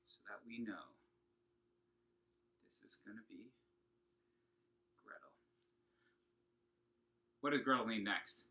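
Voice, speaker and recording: A man's voice: lowish voice, sharp tone. A man giving an upbeat, encouraging lesson to children, talking to them directly and asking questions as he goes. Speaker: children's singing and opera teacher. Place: at home.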